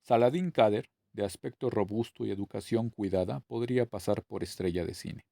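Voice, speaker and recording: A man's voice: fairly low pitch, moderate pace, creaky, mellow, dry recording. A man reading a novel aloud as scripted narration. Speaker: audiobook reader.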